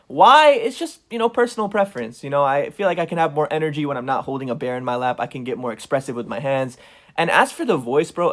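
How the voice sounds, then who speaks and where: A young man in his twenties talks straight to his viewers, chatty and informal, calling them "guys" and "bro." His voice sits a little high and swings around lively. He records at home in a basement. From United States. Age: 20s. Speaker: content creator. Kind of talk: casual Q&A vlog talk to camera.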